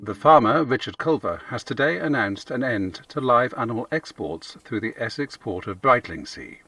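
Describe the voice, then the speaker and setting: An actor playing a formal English radio newsreader, reading a bulletin in a measured way. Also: male voice, medium pitch, animated, bright, forceful tone, distant mic, faint music behind. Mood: formal, neutral. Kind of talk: scripted news bulletin.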